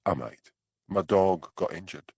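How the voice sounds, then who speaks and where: A weary-sounding, stubborn Highland constable, acted by a male narrator, speaking low and dry with a Scottish lilt. His delivery is understated, in a close audiobook recording. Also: animated, a little slow, gravelly, a little mellow, breathy edge, no room sound, exaggerated voice. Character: Hamish, dry, stubborn Highland village policeman. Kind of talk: audiobook character dialogue.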